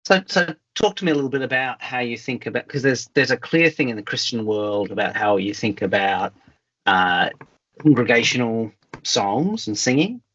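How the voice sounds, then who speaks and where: A man's voice: mid-range voice, expressive, normal speed, a bit bright. A man hosting a casual interview, thinking aloud as he feels his way through winding, tentative questions. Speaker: interviewer, host. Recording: slightly dull, lo-fi conversation audio.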